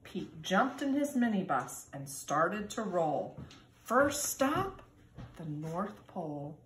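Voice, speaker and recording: A woman in her forties, a teacher, reading a children's storybook aloud in an expressive, singsong way. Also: lowish voice, takes it slowly. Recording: echoey room with background noise.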